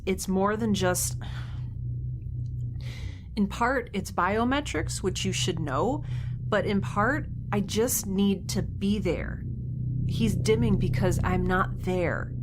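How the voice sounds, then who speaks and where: A woman's voice: medium pitch, noisy background, natural voice. A young woman playing a defiant, sarcastic space captive in an audio drama, with a casual, exasperated way of talking. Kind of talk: naturalistic audio drama acting.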